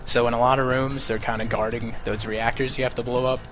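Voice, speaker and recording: A man's voice: mid-range voice, a little fast. A young man casually explaining game tactics as he plays a speedrun live. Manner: relaxed, explanatory, chatty about game tactics. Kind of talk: casual live commentary while playing. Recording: stream mic with game music behind. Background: game music.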